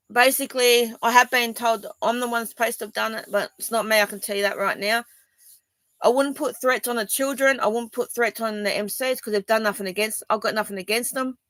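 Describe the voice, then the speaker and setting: A woman's voice: fairly high pitch, fairly bright tone, dry recording. Rambling defensive Australian woman. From Sydney, Australia. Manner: rambling and defensive, many ums and restarts. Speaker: vlogger. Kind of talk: personal video statement to viewers.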